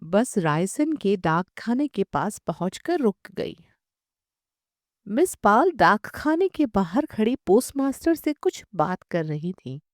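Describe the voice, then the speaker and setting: A woman's voice: medium pitch, big pitch swings, slow pace, dry recording, clean studio sound. A woman reading a literary short story as an audiobook narrator.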